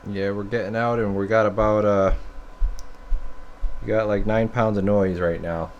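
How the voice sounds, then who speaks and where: A man's voice: on the low side, moderate pace, dull audio. An American man giving a casual hands-on demonstration as a radio technician. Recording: steady noise behind the voice. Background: clear background noise.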